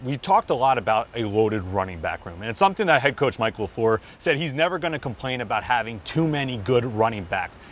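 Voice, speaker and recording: A man's voice: mid-range voice, very animated, a little fast, hardly any pauses, a little hiss or hum. A man hosting a sports show, delivering prepared reports and interview questions.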